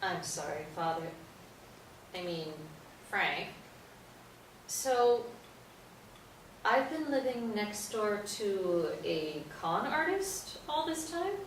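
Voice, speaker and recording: Slow, lively actress as wry housebound playwright. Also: female voice, medium pitch. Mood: weary. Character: wry, housebound female New York playwright. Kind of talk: staged play reading. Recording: roomy, distant mic, faint noise.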